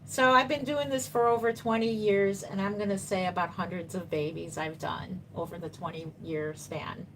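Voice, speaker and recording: A woman's voice: mid-range voice, fairly even intonation, normal speed, a little hiss or hum, low-quality recording. A woman in her fifties, a doll artist chatting casually on a live stream, reading viewer comments and answering them reassuringly while she paints. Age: 50s.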